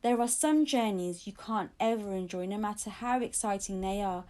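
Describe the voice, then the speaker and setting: A woman's voice: medium pitch, moderate pace, mellow. A woman reading a children's story aloud as an audiobook narrator. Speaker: audiobook reader.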